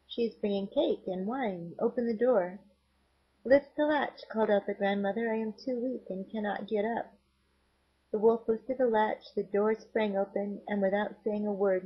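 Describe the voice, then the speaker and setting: A woman's voice: mid-range voice, slightly rough. A woman reading a fairy tale aloud, dialogue and narration. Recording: dull, lo-fi home recording.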